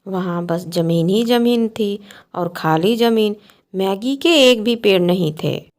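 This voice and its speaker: A woman's voice: medium pitch, slow pace, darkish tone. A woman narrating a scripted cartoon bedtime story as the storyteller.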